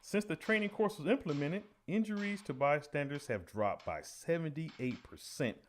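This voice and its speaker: An actor playing an earnest veteran high school teacher giving a measured, official interview in a radio play. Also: male voice, expressive, close to an everyday voice. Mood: official, unruffled.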